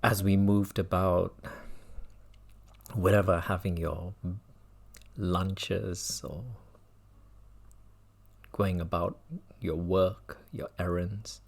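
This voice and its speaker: A man with a low-pitched voice leads a guided mindfulness meditation. He speaks very slowly, leaving long pauses between measured phrases. He often repeats words as he gives his instructions. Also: little pitch movement, creaky, darkish tone. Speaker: meditation teacher.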